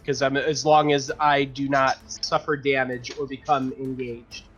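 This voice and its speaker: A man's voice: medium pitch, flat delivery, measured pace, a bit bright, breathy edge, muffled recording. A man playing a tabletop game, casually discussing the rules, with background music.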